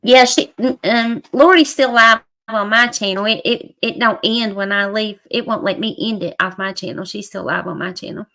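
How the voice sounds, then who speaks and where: A woman with a bright, forceful voice co-hosts an online stream. She chats casually and plainly, often repeating herself. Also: medium pitch, normal speed, hardly any pauses. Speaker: livestream co-host. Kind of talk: casual chat.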